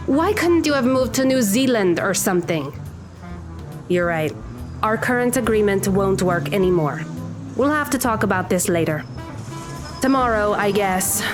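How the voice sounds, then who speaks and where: A woman's voice: mid-range voice, lively intonation, a little slow, close to an everyday voice. A woman voicing a weary, sarcastic teenage girl with a dry manner, in an audio drama with music underneath. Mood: annoyed.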